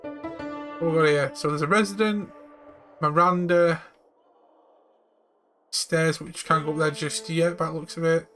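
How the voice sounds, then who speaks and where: A man's voice: fairly high pitch, fairly even intonation, measured pace, pauses often, a bit bright. A man giving casual let's-play commentary with short, offhand reactions to the game he is playing. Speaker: gamer playing through a video game. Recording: faint game music behind.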